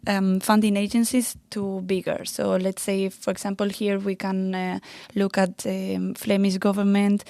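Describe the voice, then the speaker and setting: A woman in her thirties from Spain with a Spanish accent, answering interview questions about her research with many pauses and fillers. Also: mid-range voice, creaky, warm tone, dry recording, clean studio sound. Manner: hesitant, many fillers and restarts. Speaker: postdoctoral researcher.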